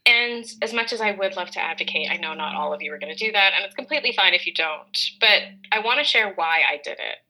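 Candid woman with a very bright voice. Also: mid-range voice, expressive, fast, few pauses, low-quality recording. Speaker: co-host. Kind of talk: reflective conversational talk on self-care.

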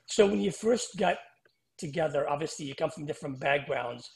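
A man's voice: fairly high pitch, animated, brisk, gravelly. A man hosting a podcast, asking casual interview questions in a loose, chatty way with fillers. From United States. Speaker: podcast host.

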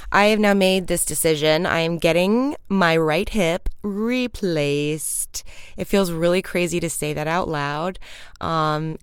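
A woman in her thirties hosting her own podcast, chatting casually and upbeat with frequent ums and casual swearing, her morning voice sitting low. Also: smooth, dry recording, clean studio sound. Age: 30s. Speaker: podcast host. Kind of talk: casual solo podcast update.

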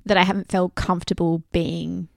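A woman's voice: on the low side, level tone, normal speed, creaky, dry recording, clean studio sound. A woman co-hosting a casual podcast, giggly and earnest, rambling loosely as she talks. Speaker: podcast co-host. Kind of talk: casual chat.

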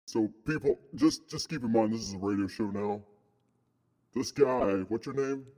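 A man playing a cocky, deep-voiced guy in flannel who mocks the host and wants to be his co-host in a comedy sketch. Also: moderate pace, mellow. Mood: deadpan. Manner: blunt, mocking, easily offended.

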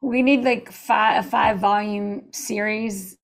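A woman's voice: mid-range voice, steady tone, slow, creaky. A woman hosting a podcast interview, asking chatty, enthusiastic questions over a video call. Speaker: podcast host. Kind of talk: casual interview.

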